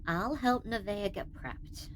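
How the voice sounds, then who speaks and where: A woman acts a dry, sardonic female crew member in a sci-fi audio drama. She speaks slowly and deadpan, with a scolding streak. Noise is clearly present behind her. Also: mid-range voice, close to an everyday voice.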